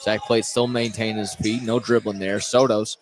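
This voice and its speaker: A man doing live play-by-play of a high school basketball game, often repeating and correcting himself as he goes. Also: little pitch movement. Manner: halting, repeats and corrects himself mid-call. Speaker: play-by-play commentator. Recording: noisy live courtside radio broadcast.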